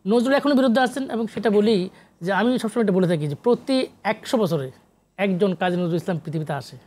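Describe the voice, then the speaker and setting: High-pitched Bangladeshi man, emphatic explainer. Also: brisk, a little mellow. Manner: emphatic, repeats phrases, mixes in English terms. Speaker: guest, academic sociologist and literary researcher. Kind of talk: talk show interview, explaining at length.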